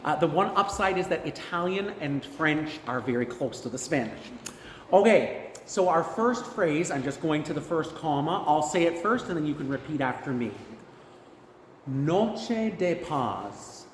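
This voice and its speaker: A man's voice: fairly high pitch, expressive, normal speed, a little hiss or hum. A man leading a choir rehearsal, explaining things in an informal, encouraging and self-deprecating way. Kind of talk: instructive rehearsal talk. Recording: echoey reverberant room with piano music.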